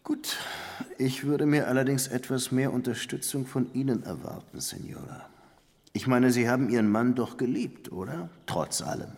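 An actor playing a blunt, sardonic police lieutenant in a radio drama, talking in a wry, deliberate way. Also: male voice, medium pitch, darkish tone, lightly acted. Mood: pressing, dry.

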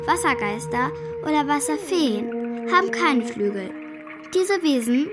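High-voiced woman reciting over loud music. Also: animated, a little slow. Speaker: narrator, reader. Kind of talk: scripted lore reading.

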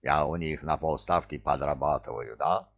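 A wheedling, rambling huckster spirit from a comic fantasy story, with a thick Georgian accent. His broken grammar rides on a very lively, swinging pitch. The recording is a bit dull and lo-fi. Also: slow, gravelly, heavily acted. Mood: plaintive. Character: hook-nosed Caucasian-style huckster spirit in Native costume, whining. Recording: dull lo-fi audio.

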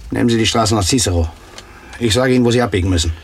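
Gangland killer, played by a male actor for crime radio drama: menacing, businesslike, rapid, slightly noisy recording. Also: fairly low pitch, lightly acted. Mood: cold menace. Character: cold-blooded, mocking gangland hitman. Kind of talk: German crime radio play acting.

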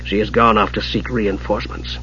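A man voicing a cold, irritable archmage in a storybook, speaking in a hushed, husky, dry and disdainful way. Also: fairly low pitch, little pitch movement, fairly quick, dull audio, slight background noise, pitched below the performer's own voice. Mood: cold, scornful. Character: bitter, irritable male archmage, cold and menacing.

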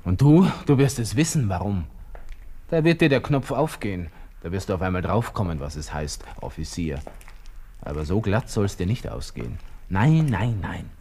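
An actor playing a proud, brooding young army lieutenant with a Viennese accent, muttering to himself in a colloquial, abrupt way in a radio drama. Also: male voice, sits low, big pitch swings, normal speed, dark tone, exaggerated voice. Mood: bitter, vengeful. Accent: Viennese Austrian. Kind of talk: 1960s German radio drama inner monologue.

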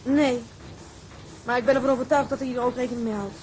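An actress playing a bold, stubborn seafaring wife in a Dutch period drama, with a firm, plain-spoken way of talking. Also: female voice, a little high, fairly even intonation, fast talker, darkish tone, slight background noise, lightly acted, poor audio. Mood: worried, determined.

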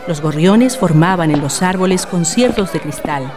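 Over prominent guitar music, a woman narrates a radio drama in a fairly low voice. Her delivery is formal and lyrical, like scripted storytelling for listeners. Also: lively intonation, moderate pace, crowd noise.

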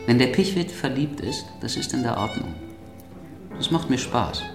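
An actor playing an uneasy, suspicious baron in a German radio drama, blunt and confiding in manner. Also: male voice, mid-range voice, expressive, normal speed, roomy sound, over music, natural voice.

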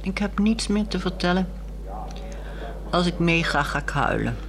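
A woman acting a weary, resolute married woman in a 1960s radio drama, speaking in a measured, articulate and wry way. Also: fairly low pitch, animated, a crowd in the background. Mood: resolute, sad.

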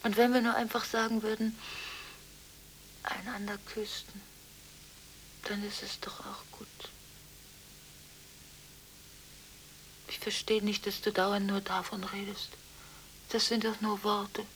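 An actress in a radio drama playing an emotionally torn daughter on the phone, halting and often near a whisper, on a hissy recording. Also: female voice, mid-range voice, level tone, fairly bright tone, rough recording. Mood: pained, arguing. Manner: halting, stammering, pleading, breaking into whispers. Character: distraught, emotionally torn daughter on a late-night phone call. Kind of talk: 1980s German radio drama acting.

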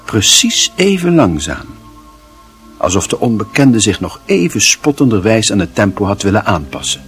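A man's voice: on the low side, very animated, moderate pace, fairly bright tone, faint music behind. A man reading literary fiction as a narrator, in a dramatic, scripted storytelling style.

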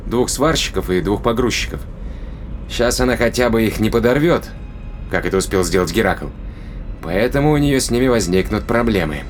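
Low-voiced, practical spaceship captain played by an actor. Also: male voice, animated, normal speed, slight background noise, close to an everyday voice. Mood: wry, matter-of-fact. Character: calm, practical male spaceship captain, wry with his colleague. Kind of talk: science fiction audio drama acting.

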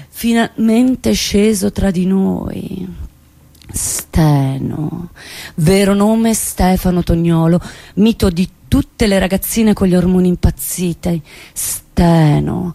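A woman's voice: lowish voice, vocal fry, dark tone, no room sound, full character voice. An actress playing a wry woman who recounts her lovesick teenage years in a dramatic, sardonic theatre monologue. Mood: mock dreamy. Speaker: stage actress.

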